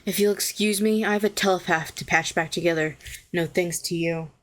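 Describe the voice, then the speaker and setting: A woman's voice: fairly low pitch, fairly even intonation, a little fast, close to an everyday voice. A woman playing a telepathic villain, a former hero who is sardonic yet tenderly protective, in an intimate audio roleplay. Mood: scornful, cutting. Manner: sharp and sardonic, turning soothing and coaxing.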